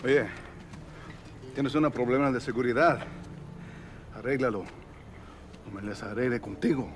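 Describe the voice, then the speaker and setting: An actor playing a sneering cartel gangster in a game cutscene. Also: male voice, mid-range voice, normal speed, slight background noise, natural voice. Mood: threatening, mocking. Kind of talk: video game cutscene acting.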